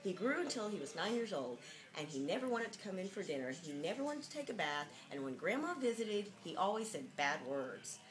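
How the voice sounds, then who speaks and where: A woman's voice: medium pitch, sing-song, a little fast, soft background music, rough recording. A woman giving a mock-dramatic reading of a children's picture book, with jokey, sardonic, giggly asides, on an amateur home video.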